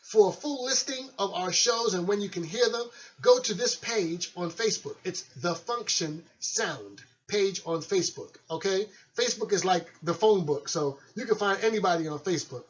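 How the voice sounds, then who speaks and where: A man on the higher side of the male range, hosting a radio show in a loose, chatty and friendly way. The recording is dull and lo-fi, with the top end rolled off. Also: lively intonation, moderate pace. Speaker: radio host and DJ. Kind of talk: casual radio show talk.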